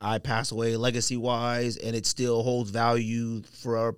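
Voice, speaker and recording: A man's voice: medium pitch, completely flat delivery, normal speed, smooth, no room sound, clean studio sound. An American man hosting a casual podcast chat, talking in slang with exclamations and rhetorical questions. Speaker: podcast host.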